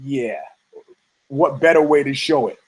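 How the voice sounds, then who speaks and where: Hoarse, husky-voiced man rambling on a podcast. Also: mid-range voice, measured pace, poor audio. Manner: rambling, profane, frequent restarts. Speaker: podcast host. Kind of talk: casual rambling monologue.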